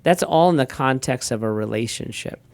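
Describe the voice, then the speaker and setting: A middle-aged pastor talks in an easygoing, rambling way, often restarting sentences and acting out little exchanges. His voice is smooth and resonant, recorded close and dry. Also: mid-range voice, moderate pace, dry recording. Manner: relaxed storyteller, frequent restarts and quoted asides. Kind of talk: casual conversational talk.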